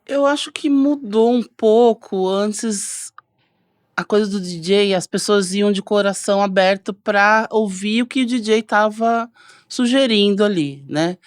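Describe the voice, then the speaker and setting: Female DJ guest, mid-pitched, measured and explanatory, dry studio. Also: dry recording.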